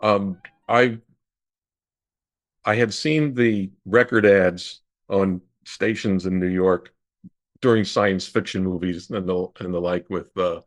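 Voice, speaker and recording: A man in his sixties answering as an expert guest, explaining haltingly with many pauses and repeats. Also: deep voice, steady tone. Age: 60s. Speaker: radio historian guest. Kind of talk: interview answers, recollection and explanation.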